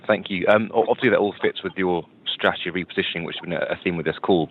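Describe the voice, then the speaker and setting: A man asking questions as an analyst on an earnings call. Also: low-pitched. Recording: thin phone-line conference call audio.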